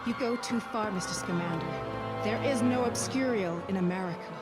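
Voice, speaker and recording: Actress doing bossy stern lady president of wizards, mid voice, loud music. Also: medium pitch, a little fast, close to an everyday voice. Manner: imperious, clipped orders. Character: stern, commanding female president of a wizarding government. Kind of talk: film dialogue in a game cutscene. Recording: loud film score behind.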